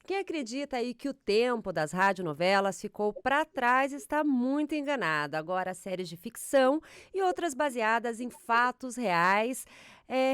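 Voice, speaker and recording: A woman's voice: on the high side, clean tone, no echo, studio quality. A woman hosting an interview podcast. She opens with a friendly scripted introduction and then asks her guests questions. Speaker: podcast host.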